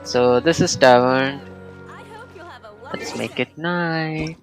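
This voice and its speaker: A male game streamer gives casual playthrough commentary in a mid-pitched, creaky voice. He talks very slowly and informally, reacting off the cuff. Faint game music runs underneath.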